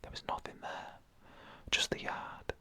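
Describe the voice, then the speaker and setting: Bright, breathy ASMR whisperer. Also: measured pace. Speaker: narrator. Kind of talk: whispered ASMR narration of a scary story.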